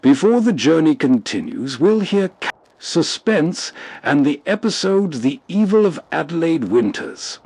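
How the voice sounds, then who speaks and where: A man narrating scripted links in a soft, measured way. His voice is husky and breathy, with a lively, slightly high pitch. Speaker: host of a nostalgia radio channel.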